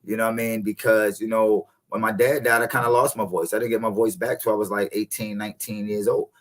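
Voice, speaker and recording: A man in his thirties, a guest coach, speaking in a relaxed, reflective way and often adding "you know what I mean". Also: on the low side, fast. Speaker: podcast guest, encouragement coach. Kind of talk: reflective casual conversation.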